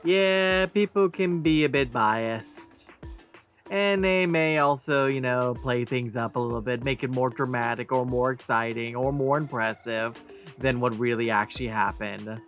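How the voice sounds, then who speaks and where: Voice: mid-range voice, a little slow, a bit bright. Casual let's player over faint game music. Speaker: let's play host. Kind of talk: casual gameplay commentary.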